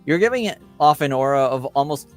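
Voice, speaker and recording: A man narrating casually as game master of a tabletop game, in a halting, deliberate manner. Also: medium pitch, lively intonation, no room sound, soft background music.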